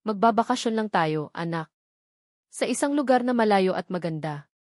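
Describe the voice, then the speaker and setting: A woman's voice: medium pitch, lively intonation, normal speed, no echo, studio quality, close to an everyday voice. A woman voicing a protective single mother in an audio drama, trying to hide her fear. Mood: falsely reassuring.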